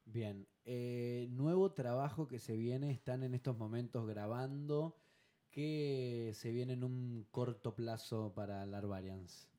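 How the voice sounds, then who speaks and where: A man's voice: medium pitch, slow pace, warm tone. A man hosting a radio interview in a studio, asking questions in an upbeat, announcer-like way. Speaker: radio host. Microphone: studio microphone.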